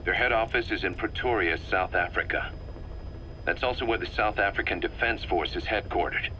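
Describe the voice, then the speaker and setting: Hard-edged, low-voiced mercenary officer. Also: male voice, moderate pace, pushed and bright, a little hiss or hum, poor audio. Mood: businesslike, warning. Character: hardened male mercenary officer briefing his commander, wry, intense. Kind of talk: scripted video game briefing narration.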